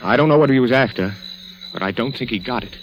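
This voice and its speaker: An American actor playing an evasive, rattled husband in a 1940s radio thriller, speaking in clipped, hesitant phrases. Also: male voice, mid-range voice, fast talker, a little hiss or hum, close to an everyday voice, poor audio. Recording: vintage radio recording.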